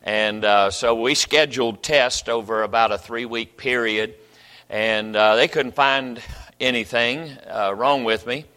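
A man's voice: mid-range voice, steady tone, unhurried, bright, forceful tone, clean tone, long reverb tail. An older man, a pastor telling stories from the pulpit in a folksy, rambling way with many restarts. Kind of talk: sermon. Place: church sanctuary.

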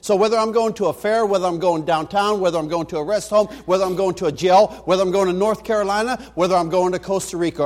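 A male missionary preacher with a mixed American accent. He tells homely stories in a chatty way, full of small fillers. He builds to emphatic preaching when he makes his point. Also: high voice. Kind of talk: folksy sermon.

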